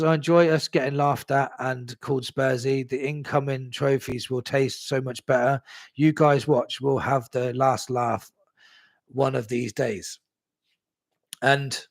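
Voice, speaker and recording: A man's voice: fairly high pitch, no room sound. A young man hosting a live stream, chatting casually about his club, reading out viewer comments and swearing easily. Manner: laid-back, chatty, swears, laughs at himself.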